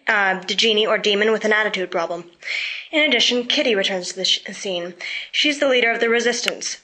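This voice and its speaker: A woman's voice: fairly high pitch, pushed and bright, rough recording. A teenage girl reading a scripted book review for a podcast. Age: teens.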